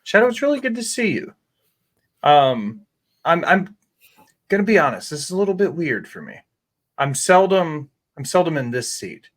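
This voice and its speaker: A man's voice: a little high, big pitch swings, normal speed, pauses often. A man, a guest chef telling candid, rambling stories in a casual interview and swearing freely. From Tennessee.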